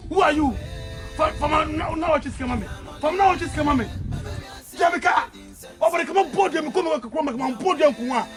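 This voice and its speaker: A man playing a hot-headed underling in a comic skit, loud and excitable, repeating words and cursing when angry. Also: medium pitch, slow pace, creaky, long pauses. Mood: furious. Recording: guitar music playing over dialogue. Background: guitar music.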